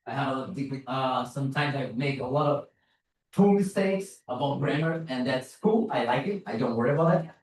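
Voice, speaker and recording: A man's voice: medium pitch, lively intonation, a little fast, darkish tone, a little hiss or hum. A man from Brazil with a Brazilian Portuguese accent, giving a casual, self-deprecating conference talk as a security consultant. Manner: casual, self-deprecating, frequent "like" and "you know". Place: large conference room. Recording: echoey hall, voice from far off.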